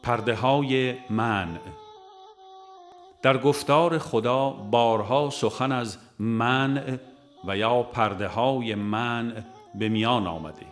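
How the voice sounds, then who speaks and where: A man reading a religious book aloud as scripted narration, in a measured, formal and solemn manner. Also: mid-range voice, faint music behind. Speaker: audiobook reader.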